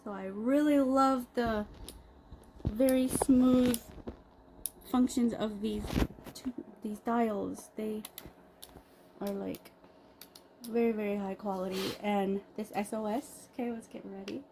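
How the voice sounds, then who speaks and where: Slow-talking woman reviewing a product, noisy background. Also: medium pitch, fairly even intonation. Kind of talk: casual unboxing review, improvised. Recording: clearly noisy background ambience.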